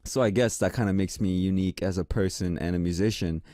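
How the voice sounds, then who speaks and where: A young man from Korea hosts a radio show in English, his second language, in a relaxed and chatty way. His speaking voice is deep and low. The sound is dry and close, with no room echo. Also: fast talker. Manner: relaxed, chatty, reads listener messages aloud. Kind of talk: casual radio show hosting.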